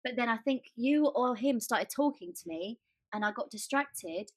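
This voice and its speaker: A woman with an English accent chatting casually as a podcast co-host, telling stories in an animated, chatty way and acting out quotes. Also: on the high side, moderate pace, dry recording. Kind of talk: casual chat.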